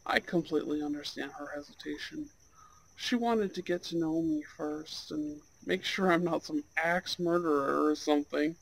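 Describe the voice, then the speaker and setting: A man playing a gentle, earnest young Goth on a blind date in an intimate ASMR roleplay, sincere and a little hesitant. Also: fairly high pitch, moderate pace, creaky, slight background noise, low-quality recording. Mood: candid, reflective. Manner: soft-hearted, hesitant, sincere.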